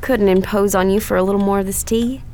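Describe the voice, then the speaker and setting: A woman's voice: medium pitch, steady tone, fast, darkish tone, lightly acted. An actress playing a frightened, sugary Southern married woman in a radio drama, with a folksy, hesitant way of talking. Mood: sweet, hopeful. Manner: fluttery, folksy, hesitant stammer. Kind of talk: old-time radio drama acting.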